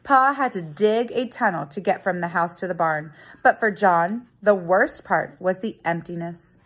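A woman in her thirties, a teacher, reading a children's story aloud in a dramatic, expressive storytelling manner with deliberate emphasis. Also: lowish voice, slightly rough. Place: living room at home. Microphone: phone or tablet at arm's length.

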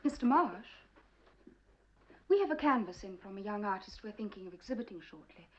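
An actress playing a polite, coaxing gallery assistant in a 1960s horror film. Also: female voice, medium pitch, lively intonation, a little fast, close to an everyday voice. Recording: dull film soundtrack, slightly distant.

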